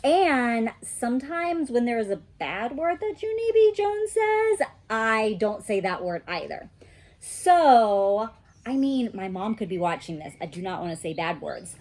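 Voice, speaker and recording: A woman who works as a teacher, talking and reading in an expressive, child-friendly storytime manner. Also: on the high side. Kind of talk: read-aloud storytime with casual chat.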